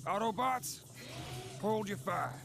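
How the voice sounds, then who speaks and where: A man's voice: high-pitched, takes it slowly, vocal fry, noisy background, heavily acted. A man voicing a cool, wisecracking male robot soldier in a video game cutscene, speaking in a slangy, laid-back way. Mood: wry. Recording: loud game music underneath.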